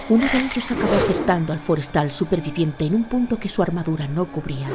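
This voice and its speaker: A woman narrating a scripted audio drama in a measured, dramatic storytelling manner. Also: lowish voice.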